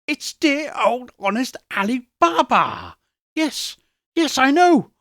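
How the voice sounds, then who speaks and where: A man voicing an excited old miser in a storybook reading, gushing and exclaiming with childlike ecstasy. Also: very high voice, takes it slowly, dry recording, clean studio sound, voice pushed up, exaggerated voice. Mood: ecstatic, nostalgic.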